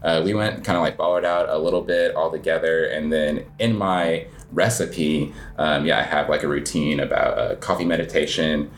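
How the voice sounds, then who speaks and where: A man's voice: very deep voice, steady tone, moderate pace, few pauses, slight background noise. An American man giving casual, rambling interview answers in an informal way, often saying "like" as he explains. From United States. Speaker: interview guest, coffee shop owner.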